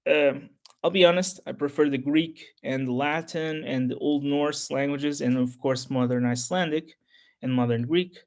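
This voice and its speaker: A man whose first language is Portuguese, talking unscripted to his channel's viewers in a halting, rambling way full of restarts. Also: a little high, fairly even intonation. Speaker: history YouTuber. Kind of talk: unscripted solo talk to camera.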